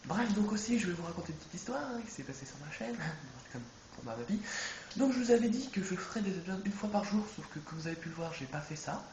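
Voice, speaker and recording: Brief: man, bit high-pitched, congested from a cold, rambling let's-play chatter, roomy noisy home recording. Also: expressive, fast. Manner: rambling, self-correcting, chatty. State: stuffy with a head cold. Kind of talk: casual gameplay commentary. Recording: echoey room with background noise.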